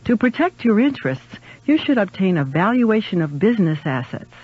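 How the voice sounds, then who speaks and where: A man's voice: sits high, sing-song, moderate pace, breathy edge. A man reading a scripted advice spot as a radio announcer. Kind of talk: scripted public service spot. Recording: dull lo-fi AM radio recording.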